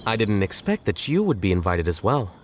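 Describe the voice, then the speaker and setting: An actor playing a calm, aloof, intellectual young man in a video game, deadpan and matter-of-fact. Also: lowish voice, fairly quick, background noise. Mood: detached.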